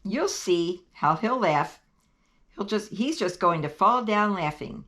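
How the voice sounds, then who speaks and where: A teasing older sister from a children's storybook, put on by a woman reader. She taunts emphatically in a raised voice, with exaggerated, lively intonation. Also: medium pitch, takes it slowly, pitched above the performer's own voice. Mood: taunting.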